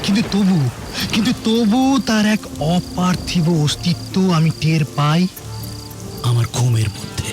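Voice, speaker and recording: A man's voice: high voice, very animated, unhurried. An actor playing an older, imperious hunter haunted by his past, speaking in an emphatic, theatrical audio drama style. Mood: brooding, challenging. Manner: theatrical, emphatic, repeats phrases for effect. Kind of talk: melodramatic Bengali audio drama acting. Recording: dramatic music behind the voice.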